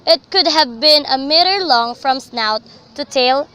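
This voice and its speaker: High, bright woman narrating a radio drama. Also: expressive, unhurried.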